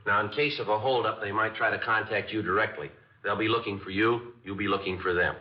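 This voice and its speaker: Man playing a steady, clipped police sergeant for an old radio drama, very low voice, thin distant broadcast sound with music. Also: brisk, roomy sound, lo-fi sound, close to an everyday voice. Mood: briefing. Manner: clipped, matter-of-fact delivery. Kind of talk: 1950s radio drama acting with narration.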